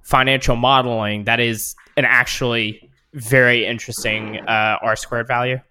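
A man's voice: medium pitch, flat delivery, normal speed, sharp tone, clear, resonant tone. A man hosting an interview, asking questions in a loose, casual way with fillers. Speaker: host.

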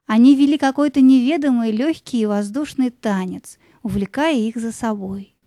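A woman narrating a scripted fairy tale in a gentle, lyrical storytelling manner. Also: on the high side, normal speed, dry recording, studio quality.

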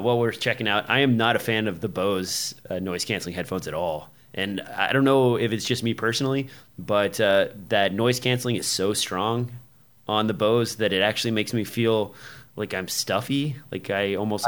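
Low-voiced man hosting a tech podcast. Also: moderate pace, a bit bright, clear, resonant tone. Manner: rambling, many fillers, laughs easily. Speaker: podcast host. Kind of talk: casual tech podcast chat.

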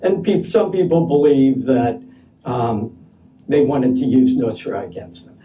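Man, panel speaker, loud voice, slow deliberate pace, mellow dark tone, halting with fillers, recorded distant and dull lo-fi. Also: medium pitch, lively intonation, slight background noise. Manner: hesitant, many fillers and restarts. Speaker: panel speaker at a policy conference. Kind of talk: unscripted conference talk. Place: conference session room. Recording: distant, dull lo-fi conference audio.

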